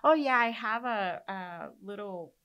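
Woman, Mexican accent, podcast host, bright clear tone, casual rambling chat, many fillers. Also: medium pitch, expressive, measured pace. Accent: Mexican Spanish.

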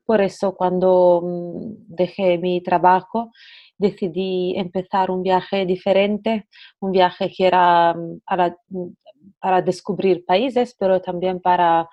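A young Italian woman with an Italian accent, a podcast guest talking hesitantly with many pauses. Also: fairly low pitch, warm tone. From Italy. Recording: dull lo-fi sound.

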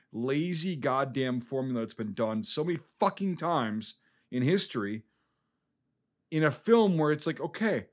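A man on a film podcast, ranting casually about a movie he disliked, swearing often and restarting his sentences as he goes. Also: mid-range voice. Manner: profane, exasperated, rambling with restarts.

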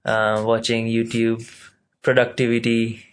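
A man's voice: medium pitch, monotone, a little slow, a little hiss or hum. Easygoing young man, joking chat. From Pakistan. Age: young adult. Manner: easygoing, joking, lots of fillers. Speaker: podcast co-host, university student. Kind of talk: casual chat.

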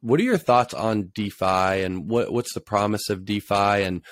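A man's voice: on the low side, moderate pace. A man hosting an interview, asking thoughtful questions with some hesitation. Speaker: podcast host.

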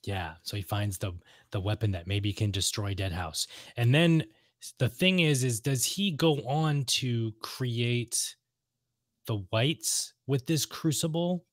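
A man's voice: medium pitch, takes it slowly, dry recording. Rambling, enthusiastic man chatting, close dry sound. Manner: rambling, enthusiastic, repeats himself for emphasis. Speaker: podcast co-host discussing video games and lore. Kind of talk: casual chat.

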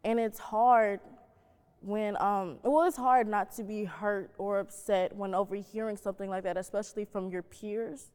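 A young woman giving a thoughtful, measured answer as a student panelist. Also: mid-range voice, steady tone, darkish tone, smooth. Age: young adult. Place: stage in a reverberant hall. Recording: echoey hall with long reverb.

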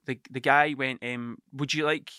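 A man's voice: medium pitch, fairly even intonation, moderate pace, bright, forceful tone, clean tone, no echo, clean studio sound. A middle-aged man with an Ayrshire Scottish accent, rambling through a casual storytelling monologue full of swearing and "do you know what I mean". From Ayrshire, Scotland. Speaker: podcast host.

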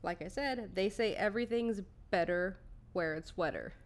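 A woman's voice: mid-range voice, normal speed. Female podcast storyteller, casual and comedic, partly scripted, with a playful, sweary, giggly streak. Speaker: podcast co-host telling a story.

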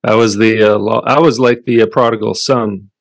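A man co-hosting a casual Bible study talk, thoughtful and halting, with a deep radio voice. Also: dry recording. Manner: relaxed, thoughtful, halting with restarts. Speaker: Bible study podcast co-host. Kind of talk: casual Bible discussion and scripture reading.

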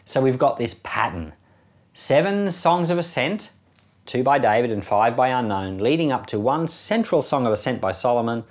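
A man's voice: medium pitch, lively intonation, normal speed. A man who is a pastor giving informal Bible commentary in a conversational, enthusiastic way, posing rhetorical questions. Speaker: pastor teaching a Bible podcast.

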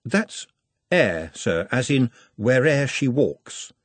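A polite, nervous young man from a storybook, deferential and very formal, as voiced by a man. His words come carefully, with a husky, breathy tone. The sound is close and dry. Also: mid-range voice, sing-song, slow pace, no room sound, full character voice.

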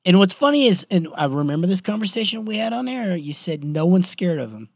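A man's voice: sits high, sing-song, brisk, no echo, clean studio sound. A man co-hosting a podcast, chiming in with short, easygoing replies. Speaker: podcast co-host. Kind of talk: casual sports chat.

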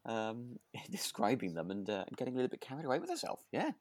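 Animated, chatty man, close dry sound. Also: medium pitch, fast, no room sound. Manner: chuckling, rambling, hedging with "kind of" and "sort of". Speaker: podcast co-host. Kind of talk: casual chat reviewing a radio drama.

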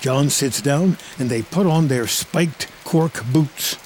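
A man's voice: fairly high pitch, slow pace, a little mellow, a little hiss or hum. A man reading scripted screenplay narration as the narrator of a drama.

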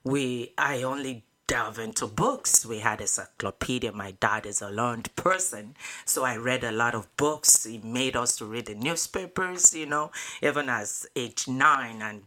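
A woman's voice: very deep voice, unhurried, bright, forceful tone. A middle-aged woman from Nigeria with a Nigerian accent, talking cheerfully and emphatically as a guest in a radio interview. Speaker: interview guest, financial educator and speaker. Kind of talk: conversational interview. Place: radio studio. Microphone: studio microphone.

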